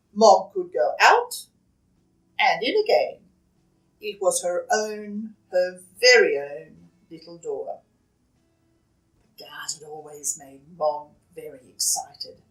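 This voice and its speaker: Woman, children's story read-aloud, lively swooping pitch, dramatic hushed-to-loud delivery, roomy echo. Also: far from the mic. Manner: expressive, dramatic shifts between hushed and loud. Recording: roomy echo, away from the mic.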